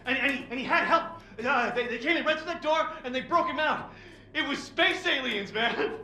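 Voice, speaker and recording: An actor playing a fast-talking, profane and slangy rebel who poses as a lawyer in a video game cutscene. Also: male voice, very high voice, very noisy. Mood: frantic. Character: fast-talking sweary rebel posing as a lawyer, wild and wired. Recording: roomy echo.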